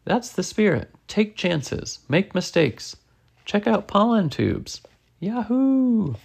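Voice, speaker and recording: A woman's voice: medium pitch, very animated, slow pace, vocal fry, darkish tone, slightly rough, heavily acted. A woman reading a children's storybook, voicing a cheerful, daring teacher with an enthusiastic, exclamatory manner. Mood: exuberant, urging. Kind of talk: children's storybook read aloud.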